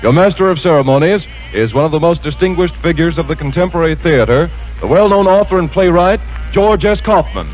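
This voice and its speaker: A man's voice: fairly high pitch, lively intonation, a little fast, highs cut off, soft background music. An American man giving formal, scripted introductions as a 1940s radio program announcer. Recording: band-limited 1940s radio broadcast.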